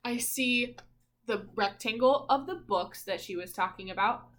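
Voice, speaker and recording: A woman teacher reads a children's picture book aloud in a fairly high voice. Her pace is very slow and sing-song. She adds little exclamations and asides and invites young listeners in with questions. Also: fairly bright tone.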